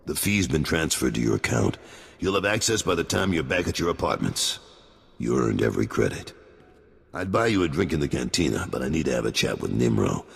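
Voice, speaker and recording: A gravelly, deep-voiced crime boss. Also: male voice, big pitch swings, fairly quick, full character voice. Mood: wry. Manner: sardonic, world-weary. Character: gruff male crime boss turned businessman. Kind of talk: video game voice acting. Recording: reverberant game mix.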